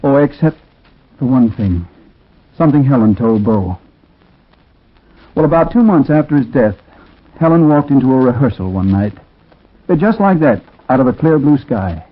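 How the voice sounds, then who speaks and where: A man's voice: mid-range voice, animated, normal speed, long pauses, no room sound, natural voice. An actor playing a troubled man who narrates an eerie story in 1940s radio drama style, reflective and conversational with hesitant asides. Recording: tinny, muffled 1940s radio recording.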